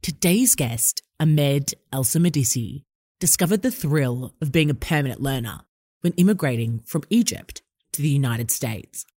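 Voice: mid-range voice, animated, moderate pace, darkish tone, no echo, studio quality. A young adult podcast host reading an upbeat scripted intro and asking effusive, enthusiastic questions.

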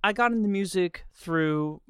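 A man from Los Angeles, a session singer, answering interview questions in a relaxed, storytelling way and laughing easily. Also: sits high, unhurried, clean tone, no echo, clean studio sound.

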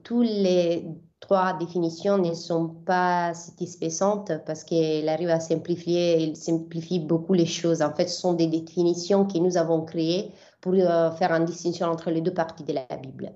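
A woman's voice: fairly low pitch, fairly even intonation, normal speed, warm tone, lo-fi sound. A young Italian woman from southern Italy, a biblical scholar answering interview questions in French with many fillers and restarts.

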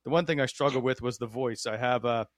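A man's voice: medium pitch, fast talker, no room sound, clean studio sound. A man hosting a sports podcast in a casual, conversational style. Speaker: podcast host.

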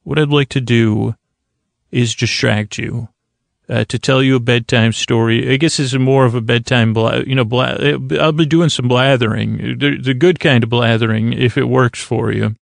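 A man hosting a bedtime podcast in a creaky, lulling voice, meandering and halting as he blathers soothingly. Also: medium pitch, steady tone, no room sound. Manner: meandering, halting, repeats himself. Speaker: host of a bedtime story podcast. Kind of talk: rambling bedtime monologue, soothing.

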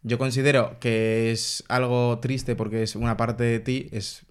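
A young man with a Dominican accent talks through his views in a relaxed podcast chat. He is reflective and explanatory, at a mid pitch. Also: measured pace, smooth. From Dominican Republic. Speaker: podcast co-host. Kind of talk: casual chat.

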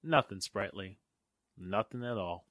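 A man's voice: on the low side, expressive, a little slow, a bit bright, no echo, clean studio sound. A man playing an earnest, curious young man in a radio drama, with a boyish, anxious manner. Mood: easygoing.

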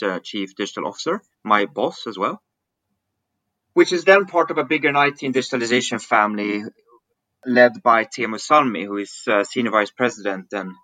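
A man's voice: on the low side, bright, forceful tone, no room sound, poor audio. A man in his forties from Switzerland, a guest on a podcast, answering questions thoughtfully and often repeating words as he searches for the phrasing. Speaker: podcast guest, digital business executive. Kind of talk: conversational interview answers.

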